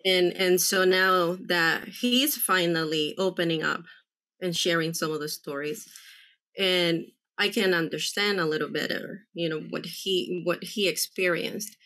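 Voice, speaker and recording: A woman from El Salvador in her forties speaks on a video call from a room at home. Her voice sits a little low but sounds bright. She talks earnestly and haltingly, often restarting her sentences. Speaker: panel guest, military spouse. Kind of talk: candid panel talk.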